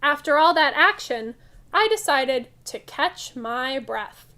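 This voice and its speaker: A woman voicing an excitable child storyteller from a picture book, with animated, emphatic delivery. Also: high voice, slow pace, exaggerated voice. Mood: excited.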